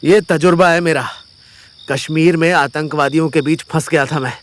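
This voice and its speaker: A man playing a wounded, defiant young man in an audio drama, speaking gravely and with labored effort through pain. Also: a little high, steady tone, moderate pace, close to an everyday voice. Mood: grave, regretful. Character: wounded, defiant, world-weary young man facing death. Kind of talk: Hindi audio drama acting.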